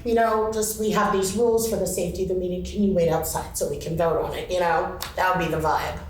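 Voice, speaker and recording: A woman's voice: fairly low pitch, fairly even intonation, quick pace. A woman taking part in an informal group discussion, talking in a rambling, conversational way. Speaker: audience participant. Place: large indoor convention room. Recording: echoey convention room, distant, faint noise.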